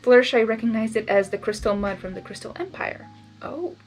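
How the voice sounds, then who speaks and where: A woman reading fan fiction aloud for the first time, casual and chatty. Her voice sits fairly high, and she breaks into quick asides between passages. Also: faint music behind. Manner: casual, chatty, laughs at the text. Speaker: channel host, reader.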